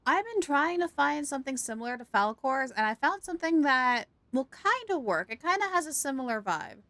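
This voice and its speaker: A woman livestreaming a game with casual, playful, expressive commentary for her viewers. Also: high-pitched, brisk, no echo. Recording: game music behind the voice.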